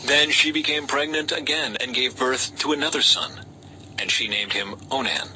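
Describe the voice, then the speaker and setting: A man reads a Bible story as scripted narration, in a measured storytelling way with lightly dramatized dialogue. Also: medium pitch, sharp tone, poor audio. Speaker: audiobook-style scripture reader. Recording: faint background noise.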